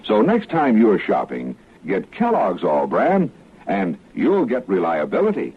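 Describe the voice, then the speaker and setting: A man's voice: fairly low pitch, huge pitch swings, unhurried, noisy background. Folksy old radio commercial man, american, really up and down delivery, tinny phone-like sound. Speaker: commercial announcer. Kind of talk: 1950s radio advertisement, folksy pitch.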